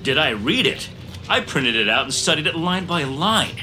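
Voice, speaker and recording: An actor playing a smug, chummy corporate boss in a comedic audio drama, pompous and patronizing. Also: male voice, on the high side, big pitch swings, moderate pace, sharp tone, soft background music, full character voice. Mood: grandly gushing.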